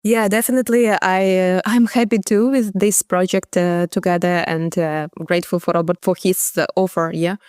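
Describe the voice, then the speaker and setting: A woman's voice: lowish voice, steady tone, moderate pace, few pauses, a little mellow, smooth, no room sound, studio quality. A woman from Ukraine, a journalist guest, answering interview questions in careful, hesitant English with many fillers and non-native phrasing.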